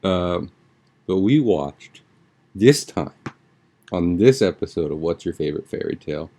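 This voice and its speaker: Young guy podcast host, low voice, kinda rambly and slangy, just chatting. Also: animated, measured pace, dark tone. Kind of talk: casual podcast chat, episode recap.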